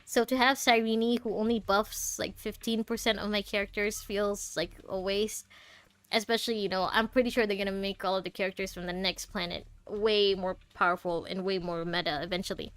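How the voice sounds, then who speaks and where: A woman's voice: medium pitch, steady tone, a little fast, a bit bright, clean tone, no echo. A woman streaming a game, chatting casually and expressively as she thinks aloud and answers viewers. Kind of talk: casual livestream chat, reading out chat messages and game text.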